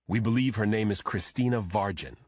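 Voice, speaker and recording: A man's voice: lowish voice, a little fast, darkish tone, no room sound, studio quality, natural voice. A man voicing a serious FBI agent who briefs a colleague in a terse, official way, in an audiobook. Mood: guarded, serious. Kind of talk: audiobook character dialogue.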